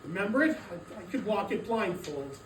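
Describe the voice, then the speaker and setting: A woman's voice: mid-range voice, very animated, moderate pace, close to an everyday voice. A woman playing an elderly, emotional Scrooge in a dramatic stage reading, wistful and exclamatory. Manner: exclamatory, wistful, repeating words. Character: elderly Scrooge, emotional man revisiting his past. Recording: roomy, distant mic, noisy hall recording.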